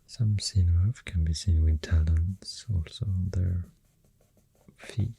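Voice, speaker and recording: A soft-spoken ASMR artist with a Swedish accent, rambling in a hushed, halting, breathy half-whisper while drawing. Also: low voice, fairly even intonation, a little hiss or hum. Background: pencil writing and drawing sounds.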